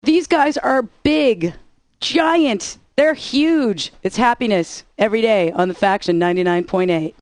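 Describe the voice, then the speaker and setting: A woman's voice: a little high, expressive, unhurried, no echo. A woman radio DJ with sarcastic, wisecracking scripted patter, recorded close on a studio mic.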